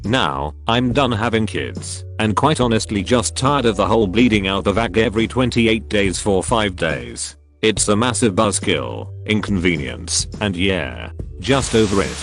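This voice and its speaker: Man reading stories over music. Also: fairly low pitch. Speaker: narrator reading online posts. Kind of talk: scripted narration of reader stories.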